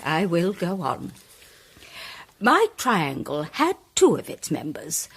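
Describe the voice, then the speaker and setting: Grand lady playwright in a radio mystery: self-important, declamatory, hugely animated delivery with a slightly husky edge. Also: mid-range voice, full character voice. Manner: declamatory, grandiloquent, deliberate emphasis. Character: theatrical, self-important woman playwright presenting her case. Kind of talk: dramatised audio mystery, formal speech-making.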